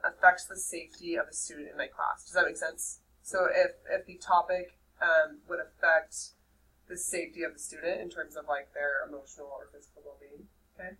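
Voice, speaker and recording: Nasal young woman teaching a class. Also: fairly low pitch. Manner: lively, checks in with "okay?" often. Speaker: high school English teacher. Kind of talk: classroom lecture. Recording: distant mic with faint room noise.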